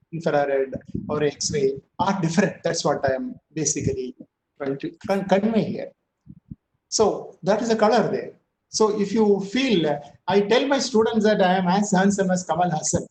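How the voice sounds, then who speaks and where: A male physics professor giving an online lecture, chatty, with joking asides and filler pauses. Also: sits high, darkish tone, reverberant, a little hiss or hum, low-quality recording. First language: not Tamil.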